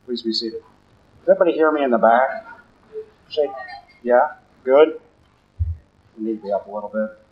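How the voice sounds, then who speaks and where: A man, a deacon preaching a sermon in a church in a low, conversational way and putting questions to the congregation. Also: normal speed, long pauses. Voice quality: deep voice. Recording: roomy, slightly dull church recording.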